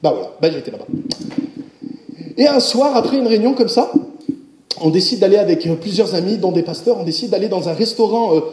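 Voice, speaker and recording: Guy preaching to his church, lively and direct, high-pitched voice, roomy echo. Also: brisk, pauses often. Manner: animated preaching, addresses the congregation directly. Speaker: pastor. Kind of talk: sermon.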